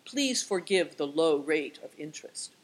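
A woman's voice: sits low, lively intonation, measured pace, low-quality recording. A woman voicing a young boy adventurer in an audiobook, formally polite with a cheeky streak. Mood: cheekily courteous. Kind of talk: audiobook character voice.

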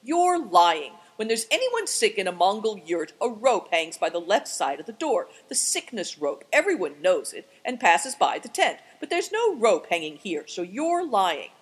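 A woman voicing an angry, suspicious man who shouts and growls accusations in an audiobook story. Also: medium pitch, sing-song, moderate pace, hardly any pauses, breathy edge. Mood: accusing. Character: angry, suspicious man, a blustering traveling stranger. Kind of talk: audiobook character voice.

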